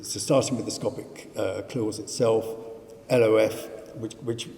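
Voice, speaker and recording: A man presenting at a conference panel, halting, with many fillers and dry asides. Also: on the low side, steady tone, dark tone. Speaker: panel speaker. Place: conference hall. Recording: echoey hall with faint room noise.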